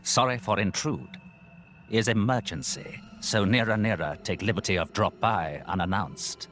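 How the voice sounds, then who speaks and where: Man voicing a low-pitched fussy merchant creature. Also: normal speed, a bit bright, faint music behind, close to an everyday voice. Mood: apologetic urgency. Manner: broken, third-person speech. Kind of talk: video game voice acting.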